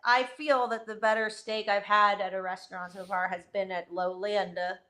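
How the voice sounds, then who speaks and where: A woman's voice: mid-range voice, little pitch movement, normal speed, bright, forceful tone. A woman co-hosting a casual chat show, playful and wry, laughing often. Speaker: co-host.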